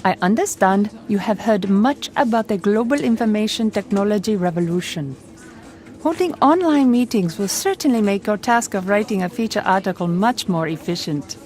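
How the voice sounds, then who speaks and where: A woman's voice: medium pitch, animated, moderate pace, a little mellow, lightly acted. A woman playing a polite, earnest young IT worker, speaking formally in a scripted role-play interview. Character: young adult female IT worker in Bangalore.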